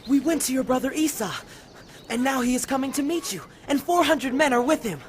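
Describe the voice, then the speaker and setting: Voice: high-pitched, lively intonation, brisk. An actor playing an urgent servant messenger in a Bible audio drama, speaking hurriedly amid background noise. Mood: urgent, alarmed. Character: urgent messenger servant bringing alarming news. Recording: noisy background ambience.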